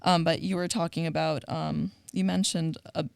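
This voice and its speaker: A woman's voice: low-pitched, fairly even intonation, moderate pace, gravelly, smooth, dry recording, studio quality. A woman co-hosting a show in casual discussion, talking in an earnest, enthusiastic way. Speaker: co-host of a media show.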